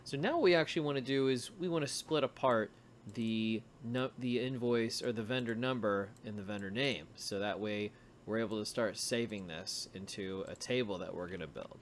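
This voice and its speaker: Male tutorial instructor, lowish voice, informal and thinking aloud, with noticeable noise and keyboard typing behind him. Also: moderate pace.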